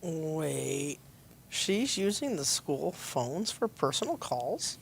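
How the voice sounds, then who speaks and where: Woman acting a lively, low-voiced cheerful colleague. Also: slow, gravelly, a little hiss or hum, full character voice. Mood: cheerful, curious. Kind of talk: amateur audio play acting.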